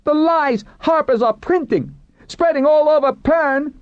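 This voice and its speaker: A man's voice: very high voice, expressive, extremely slow, pitched above the performer's own voice, full character voice. A man voicing a frightened but defiant male fanatic in a storybook, crying out wildly. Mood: frantic defiance. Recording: roomy, dull muffled recording.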